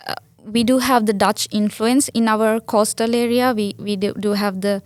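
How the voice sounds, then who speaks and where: A woman's voice: medium pitch, steady tone, normal speed, dry recording, studio quality. A young woman from Sri Lanka with a Sri Lankan accent, a native Sinhala speaker, answering interview questions earnestly with many restarts and fillers. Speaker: guest.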